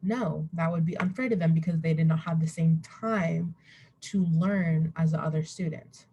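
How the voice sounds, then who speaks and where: A young woman with a soft, dark-toned voice talks through a student presentation. She rambles a little and corrects herself in an informal way. Her pitch sits on the low side, and a faint noise runs behind her webcam recording. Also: moderate pace, muffled recording. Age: young adult. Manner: rambling, self-interrupting, chuckles at herself.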